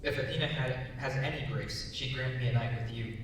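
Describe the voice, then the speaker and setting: A man's voice: fairly quick, close to an everyday voice. A man acting a creepy, leering young man of ancient Athens in a live dramatic reading. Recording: very echoey hall, distant mic, noisy rumble.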